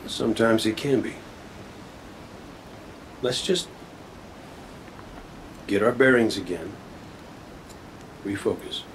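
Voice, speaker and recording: An actor playing a fatherly, battle-ready veteran in a video game, confident, casual and reassuring, over rumbling background noise. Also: slow, pauses often, poor audio. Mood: steady, reassuring.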